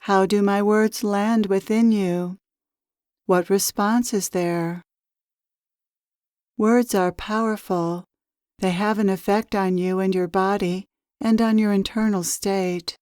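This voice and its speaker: A woman leading a channeled guided meditation, speaking calmly and soothingly in measured phrases with long pauses. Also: medium pitch, clear, resonant tone, no echo, clean studio sound. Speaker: spiritual teacher leading a meditation.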